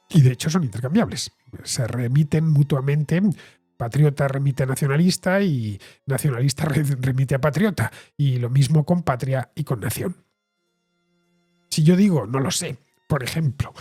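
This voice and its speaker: A middle-aged man from Spain with a Castilian accent, hosting a solo talk show and explaining ideas in a measured way with frequent hesitations. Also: mid-range voice, darkish tone, husky. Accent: Castilian Spanish. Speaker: host and writer.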